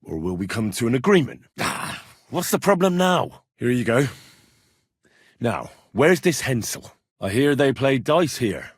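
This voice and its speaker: Young medieval adventurer for a game: blunt and earthy, quick to threaten, husky voice, very mobile pitch, dry studio sound. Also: male voice, very animated, no echo. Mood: bargaining, wry. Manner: blunt, earthy, sweary, conversational. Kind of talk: video game dialogue acting.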